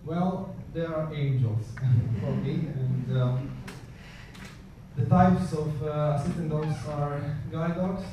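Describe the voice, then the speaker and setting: A man from Croatia with a Croatian accent, speaking English as a second language and giving a conference presentation, halting often with fillers and restarts. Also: fairly high pitch, fairly even intonation, noisy background. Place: conference hall. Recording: echoey hall, voice far from mic.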